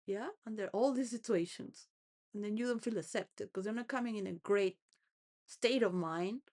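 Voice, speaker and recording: A woman's voice: mid-range voice, darkish tone. A woman rambling through a personal monologue, full of restarts and wandering thoughts, sometimes laughing between phrases. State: homeless.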